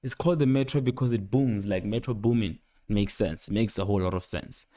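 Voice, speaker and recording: A young man giving a casual, unscripted music production tutorial, rambling playfully in slangy speech with excited interjections. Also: lowish voice, a little fast, no room sound, clean studio sound. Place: indoor home studio at a computer. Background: loud instrumental beat and instrument sounds playing.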